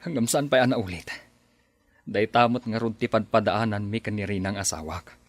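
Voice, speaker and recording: A man's voice: lowish voice, moderate pace, no echo, close to an everyday voice. A man playing a worried father of a kidnapped child in a radio drama, earnest and respectful. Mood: anxious. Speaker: son and husband.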